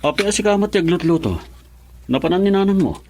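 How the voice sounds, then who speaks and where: A man's voice: high-pitched, expressive, fast talker. A man with an Ilocano accent playing a husband and father in a rural family, in a radio drama. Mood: pleased, curious.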